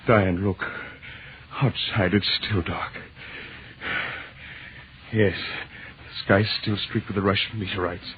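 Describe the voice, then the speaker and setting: Anguished husband in old radio drama, halting and slow, muffled phone-like sound. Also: male voice, on the low side, steady tone, a little hiss or hum. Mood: shaken, horrified. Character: desperate husband fighting to save his entranced wife. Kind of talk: 1940s radio drama acting.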